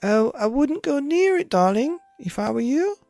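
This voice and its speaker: A man's voice: very high-pitched, very animated, normal speed, vocal fry, a little mellow, no echo, faint music behind, voice pushed up, exaggerated voice. A man voicing a timid, doting father in a children's storybook, mild, fussy and pleading. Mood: cautious.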